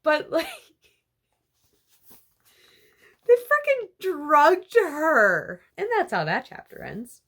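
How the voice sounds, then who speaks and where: A young woman with a slightly high voice chatting through a book summary for her channel. She giggles often and peppers her sentences with "like" and "you know." Her delivery is loose, casual and excited. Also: very slow, pauses often. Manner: chatty and giggly, full of fillers like "like". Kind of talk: casual chapter-by-chapter retelling.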